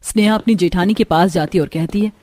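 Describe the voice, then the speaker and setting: A woman's voice: on the low side, fast, warm tone. A woman reading scripted narration for a cartoon story as its narrator.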